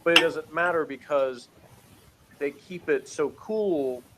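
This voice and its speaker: A man's voice: on the high side, takes it slowly, heavy background noise. A man giving a casual, opinionated review as a podcast co-host, rambling and emphatic in an exasperated way.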